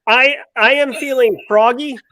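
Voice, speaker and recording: Man, higher voice, dry sound, animated panel guest, emphatic, video call. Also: a little slow, no room sound. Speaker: cybersecurity expert guest. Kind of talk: energetic panel discussion.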